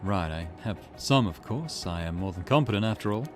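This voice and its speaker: A man voicing a boastful, hot-headed curse bearer in a visual novel, expressive and blustering. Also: lowish voice, fairly quick, over music, natural voice.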